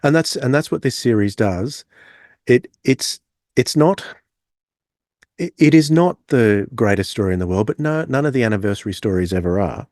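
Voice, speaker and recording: A man co-hosting a podcast in casual review chat, talking in a halting, discursive way. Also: mid-range voice, animated, a little mellow, no echo, clean studio sound. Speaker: podcast co-host.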